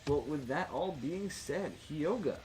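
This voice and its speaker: A man's voice: fairly high pitch, lively intonation, unhurried, music in the background. A man acting as game master in a casual tabletop session, narrating and bantering with a joking, foul-mouthed manner.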